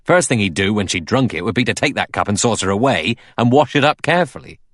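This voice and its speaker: A man's voice: fairly low pitch, animated, quick pace, a bit bright, no echo, clean studio sound, voice pushed up. A man voicing a blunt, aggressive, suspicious detective in an audiobook, arguing in pushy, colloquial bursts. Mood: insistent. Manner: pushy, colloquial, emphatic argument. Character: blunt, aggressive middle-aged male detective, suspicious and cocksure.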